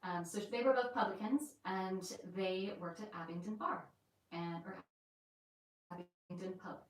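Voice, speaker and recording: A woman's voice: mid-range voice, unhurried. A woman with an American accent mixed with a light Yorkshire lilt, hosting a chatty, rambling live stream from inside an old house. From England and the United States. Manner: chatty and rambling, with many fillers. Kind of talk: casual live-stream chat. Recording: very echoey room, mic at a distance.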